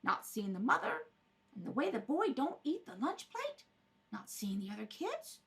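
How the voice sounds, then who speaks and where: A woman's voice: sits high, sing-song, moderate pace, creaky, exaggerated voice. A woman playing a fussy, insistent neighbor with folksy, ungrammatical speech in an amateur radio play. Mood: indignant, insistent. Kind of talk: amateur radio drama read-through.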